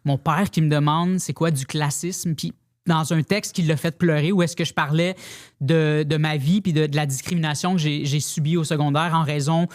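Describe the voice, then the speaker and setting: A woman's voice: sits low, steady tone, normal speed. A woman with a Québécois accent, a podcast guest talking frankly in casual conversation, restarting sentences often. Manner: frank and blunt, lots of restarts and filler phrases.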